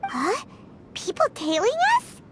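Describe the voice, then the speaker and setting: A woman voicing a tiny, excitable fairy girl companion in a video game, with a childlike, squeaky voice. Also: slow, a little hiss or hum, exaggerated voice. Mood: excited, curious. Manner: excitable, exclaiming. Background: prominent game music.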